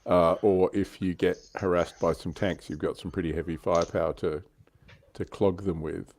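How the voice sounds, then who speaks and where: A man's voice: low voice, steady tone, normal speed, a little mellow, a little hiss or hum. A man co-hosting a casual livestream about tabletop wargaming, explaining things in a conversational way. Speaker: livestream co-host.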